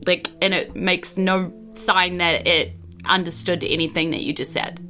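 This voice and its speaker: Bright-voiced New Zealand woman game master. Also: on the low side, fairly even intonation, a little slow, faint music behind. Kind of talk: casual tabletop roleplay narration.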